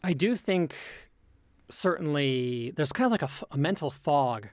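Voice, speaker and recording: A man, a native English speaker, talking reflectively as an interview guest in a measured, hesitant way that often qualifies itself. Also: fairly high pitch, lively intonation, dry recording. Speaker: interview guest, language learner and comedy creator. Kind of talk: casual reflective interview conversation.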